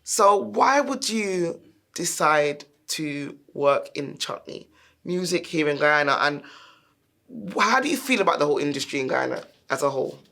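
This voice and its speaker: Interview host, chatty, mid-range voice, quick follow-up questions. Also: medium pitch, animated, vocal fry, bright, forceful tone. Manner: chatty, with fillers and quick laughs. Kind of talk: interviewing a guest.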